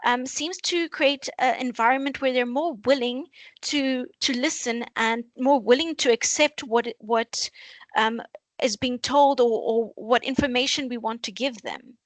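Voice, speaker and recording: A woman's voice: fairly high pitch, measured pace, no room sound. A woman panellist explaining carefully in an unscripted discussion, rephrasing as she goes.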